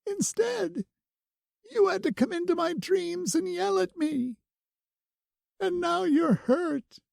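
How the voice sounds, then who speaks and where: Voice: expressive, a little slow, creaky, dark tone, husky, no room sound, clean studio sound, heavily acted. A tearful fierce young woman. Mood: distraught. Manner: anguished, shrill. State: crying.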